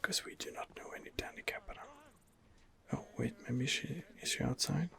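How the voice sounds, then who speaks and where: A man softly narrating a video game in ASMR style, musing hesitantly as he thinks aloud. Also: on the high side, wildly swooping pitch, moderate pace, creaky. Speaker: gamer narrating a role-playing game playthrough. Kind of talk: whispered ASMR game commentary.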